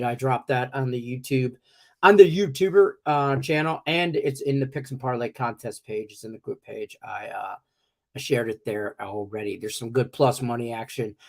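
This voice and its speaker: A middle-aged man hosting a sports betting show, chatting loosely and joking, his voice congested and slightly cracky from a cough. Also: mid-range voice. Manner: loose and joking, rambling with many fillers. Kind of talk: casual live-stream chat.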